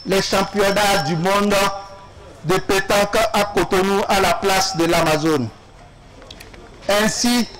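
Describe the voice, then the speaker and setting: A male official with a Beninese accent delivers a formal opening speech. His voice is high for a man and he speaks slowly. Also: low-quality recording.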